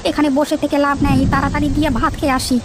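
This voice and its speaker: A woman's voice: high voice, little pitch movement, racing, music in the background, natural voice. A woman voicing an anxious married village farmer in a children's cartoon, exclaiming and lamenting in homely colloquial speech. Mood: grumbling, worried. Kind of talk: children's moral-story cartoon dubbing.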